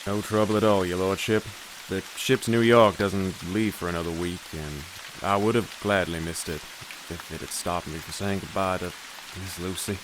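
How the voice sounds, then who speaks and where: An actor playing an earnest, blunt gentleman suitor in a period audio drama, speaking plainly and directly. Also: sits low, steady tone, normal speed, background noise, lightly acted. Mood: grieving, regretful.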